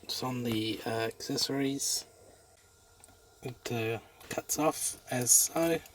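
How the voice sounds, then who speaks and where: An Australian man giving a casual hands-on installation demo in halting, unscripted short phrases. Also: mid-range voice. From South Australia. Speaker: amateur YouTube presenter. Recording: noisy amateur handheld recording, clicks.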